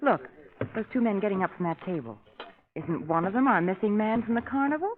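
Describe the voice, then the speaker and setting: Woman acting a jumpy but wry society gal sidekick, very animated voice, tinny old radio with a bit of hiss. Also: medium pitch, fairly quick, natural voice. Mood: curious. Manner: expressive, wry, poised. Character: spirited, nervous, wry young lady companion. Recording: tinny, lightly noisy 1930s radio recording.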